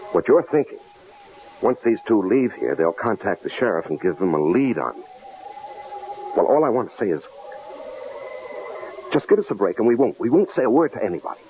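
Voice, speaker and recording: An actor in a 1970s radio drama playing a frightened married man held captive, pleading in a halting, stammering way. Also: fairly low pitch, big pitch swings. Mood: nervous pleading. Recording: muffled, noisy old radio broadcast recording.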